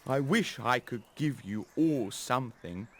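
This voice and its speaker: A gentle storybook scarecrow, soft-spoken and warm-hearted, close to the storyteller's own voice, as the narrator puts him on. He speaks slowly, with lively rises and falls. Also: medium pitch, slight background noise, natural voice. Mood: grateful. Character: gentle kind male scarecrow.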